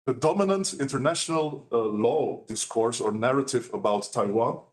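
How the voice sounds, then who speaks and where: A man gives a formal academic presentation as a law professor and author. He speaks in a measured way with frequent pauses. His voice sits at an ordinary, mid-range pitch for a man.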